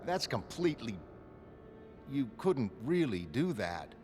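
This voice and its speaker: A man's voice: medium pitch, big pitch swings, slow, darkish tone, soft background music. A man voicing an earnest, disbelieving retired costumed hero, agitated and protesting, in a motion comic. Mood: incredulous pleading. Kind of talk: dramatic motion comic narration.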